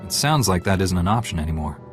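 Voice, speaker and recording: Deep voiced jedi hero, firm and earnest, game dialogue with music behind. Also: male voice, lively intonation, quick pace. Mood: businesslike. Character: resolute, righteous Jedi knight, male hero. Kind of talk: dramatic video game hero acting.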